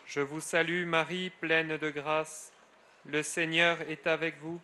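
A middle-aged friar leading solemn prayer in a measured cadence, his phrases falling away at the end. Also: a little high, little pitch movement, pushed and bright, a little hiss or hum. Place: outdoors at a grotto shrine.

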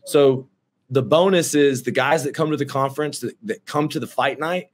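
A man of thirty-six in casual video-call chat from his office, brash and excitable, swearing freely and calling people dude and bro. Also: medium pitch, moderate pace, a little hiss or hum. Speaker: roofing company owner and host. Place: office with shelves.

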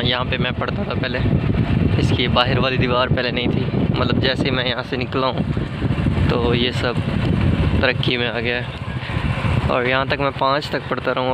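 A young man in his twenties talks casually to his phone camera while walking through open country, explaining each step in a plain, steady voice that hardly rises or falls. His outdoor phone recording carries clear background noise. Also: mid-range voice. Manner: casual and explanatory, mixes English words into his talk. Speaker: vlogger. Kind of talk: casual walking vlog narration. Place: outdoors among sugarcane fields and dirt paths. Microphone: phone camera held at arm's length.

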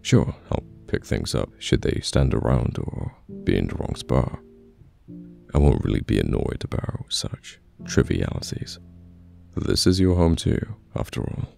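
Man playing a very deep, slow, soft-spoken boyfriend. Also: very animated, gravelly, full character voice. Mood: calm, reassuring. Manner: gentle, halting, reassuring. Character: caring, patient boyfriend reassuring a new live-in partner. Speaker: voice actor in an audio roleplay. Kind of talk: soft-spoken ASMR boyfriend roleplay, pillow talk. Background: soft piano or guitar music.

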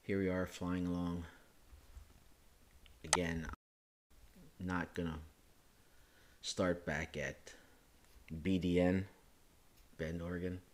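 A man, hoarse from a chest cold, hosting a screen-recorded flight simulator how-to, talking it through casually with self-corrections and filler sounds. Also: deep voice, slow pace, long pauses, slight background noise. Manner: relaxed, thinking aloud with frequent uh and self-corrections. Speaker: flight sim hobbyist host.

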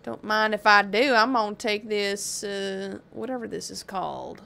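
A woman's voice: medium pitch, moderate pace, creaky, pushed and bright. A young woman streaming a game with a friend over a headset, chatting playfully and swearing when things go wrong. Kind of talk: casual gaming chat with a friend. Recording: faint background noise.

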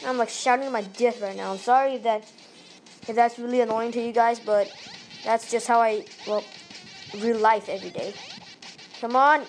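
A woman from Malaysia with a Malaysian accent, giving excitable let's-play commentary into a tablet microphone held up to her face, yelping and pleading at the game. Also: fairly high pitch, moderate pace, pauses often, soft background music, rough recording. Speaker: gaming channel host.

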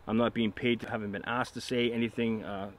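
A man's voice: fairly low pitch, level tone, a little fast. A middle-aged man reviewing a product in a casual, conversational, explanatory way.

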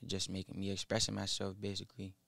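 A nineteen-year-old man, a rapper guest in a small studio, speaking haltingly into a boom mic with many fillers. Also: completely flat delivery, creaky, pushed and bright, no echo. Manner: halting, mumbled, full of fillers. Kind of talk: casual interview. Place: small studio with foam wall. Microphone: studio mic on boom arm, headphones.

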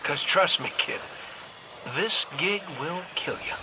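An actor playing a cocky, wisecracking robot gunslinger in a video game, with an American accent and a wry, folksy, sardonic way of talking. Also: male voice, a little high, expressive, takes it slowly, background noise. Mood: mock-instructive. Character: cocky wisecracking male robot gunslinger, roguish hunter leader. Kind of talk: video game voice acting, recorded farewell messages.

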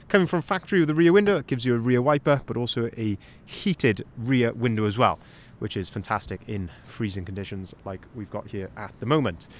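Man, young, van sales manager, upbeat walkaround pitch, outdoor lot, handheld camera. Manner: upbeat, detailed, pointing things out as he walks. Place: outdoor car lot on a cold day. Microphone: handheld camera, first-person view.

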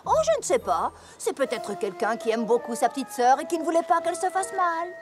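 A woman's voice: high-pitched, sing-song, fairly quick. A woman playing a doting, earnest and overprotective big sister pony in a cartoon, emphatic and fussing. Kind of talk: animated cartoon dub acting. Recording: music behind the voice.

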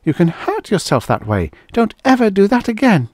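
A man's voice: high-pitched, huge pitch swings, normal speed, a little mellow, dry recording, studio quality, voice pushed up, heavily acted. A man voicing an anxious, frightened mother who urgently calls and scolds her small boy in an audiobook story. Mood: scolding. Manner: urgent, exclaiming.

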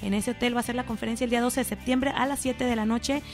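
Middle-aged Mexican woman, show host, high voice, friendly anecdotal chat. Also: fairly even intonation, fast talker, soft background music. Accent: Mexican Spanish. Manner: chatty, personal anecdotes, addresses audience as friends. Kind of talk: casual talk show chat.

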